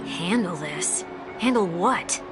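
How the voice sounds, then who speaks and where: An actress playing a quick-witted young woman trailblazer in a video game, talking in a wry, questioning way over loud game music. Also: fairly low pitch, expressive. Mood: curious. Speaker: player character. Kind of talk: game voice acting.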